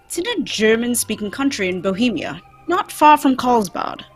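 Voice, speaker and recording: A keen gentleman detective from an old-time radio play, played by a woman. The voice is high and very animated, theatrical and courteous, explaining with brisk confidence. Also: breathy edge. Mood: keen, instructive.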